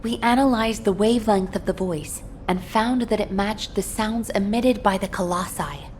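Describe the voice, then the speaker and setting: A woman's voice: medium pitch, a little hiss or hum, lightly acted. Female game officer, played by an actress: mid-range voice, professional and official, debriefing over faint background ambience. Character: businesslike female sci-fi headquarters officer, reassuring but official. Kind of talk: scripted game dialogue, mission debrief.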